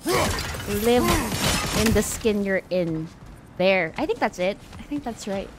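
A woman's voice: mid-range voice, big pitch swings, takes it slowly, very noisy. A woman from the Philippines, giving chatty, giggly reaction commentary while playing a video game. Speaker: gaming streamer. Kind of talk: let's play reaction commentary. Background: game music.

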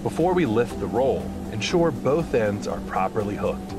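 A man giving scripted, instructional training narration in a clear manner. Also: lowish voice, very animated, moderate pace. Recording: music behind the voice. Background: prominent background music.

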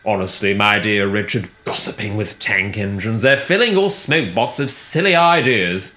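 A man's voice: lowish voice, normal speed, bright, forceful tone. A man voicing a pompous, grumpy big express engine in a children's story, with a lordly, dismissive, grumbling manner. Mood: condescending.

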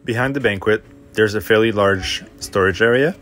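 A man with a fairly low voice narrates a tour of his own boat. He lists each compartment and piece of equipment in a plain, matter-of-fact way, without fuss. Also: normal speed, fairly bright tone, faint music behind. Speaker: boat owner giving a tour.

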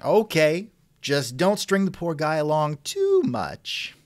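Theatrical, animated man hosting comedy. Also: fairly high pitch, slow, dry recording, clean studio sound. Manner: theatrical, wisecracking. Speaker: podcast host and interviewer.

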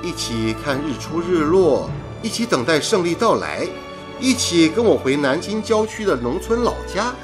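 Animated, cocky young-hearted engineer in love. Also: male voice, on the high side, normal speed. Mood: tender, teasing. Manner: teasing, bantering. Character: cocky, jovial radio station engineer wooing his sweetheart. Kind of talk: radio drama acting. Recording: radio drama with music behind.

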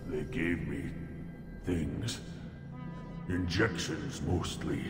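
A man playing a sick, stubborn alien warrior scout in a video game, speaking in halting, heavy phrases. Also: a bass voice, fairly even intonation, heavily acted. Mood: weary, suffering. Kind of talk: dramatic video game dialogue. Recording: reverberant hall, loud music behind.

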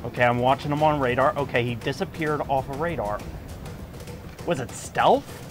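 A man's voice: medium pitch, normal speed, music in the background. A man giving light, casual commentary while playing a video game. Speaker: gamer recording a playthrough.

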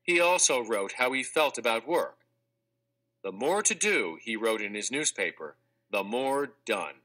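A man narrating a children's textbook read-aloud in a clear, measured, expressive scripted delivery. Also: mid-range voice. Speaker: audiobook narrator.

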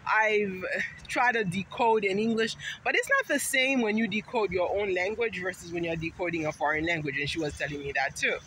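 A woman's voice: medium pitch, brisk, fairly bright tone. A middle-aged woman from Haiti, vlogging casually in a chatty, rambling, emphatic way while walking through a park. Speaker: vlogger and teacher. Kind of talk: casual talk to camera. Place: sunny city park outdoors. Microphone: phone held at arm's length. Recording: noisy outdoor phone recording.

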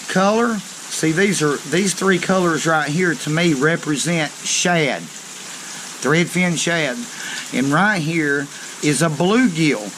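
A man's voice: a little high, a bit bright, slight background noise, poor audio. A man, hosting a fishing channel and showing tackle at a table in a homey, folksy way, saying "okay" often. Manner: slow, folksy, down-home talk with frequent "okay". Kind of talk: hands-on tackle demonstration. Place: covered outdoor porch or garage on a rainy day.

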